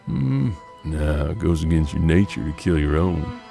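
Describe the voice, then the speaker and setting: Weary frontier detective mentor: low, creaky, folksy, swinging widely in pitch, western audio drama. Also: male voice, expressive, unhurried, over music, exaggerated voice. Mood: pensive. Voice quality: gravelly. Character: weary, gruff older male bounty hunter and mentor.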